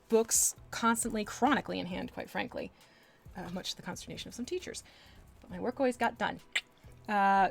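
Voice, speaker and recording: Chatty woman from Texas streaming her painting, rambling and self-amused, mid-pitched, soft music underneath. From Texas, USA. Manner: chatty, rambling, with asides and laughs. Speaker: livestreamer painting miniatures. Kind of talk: casual chat while working.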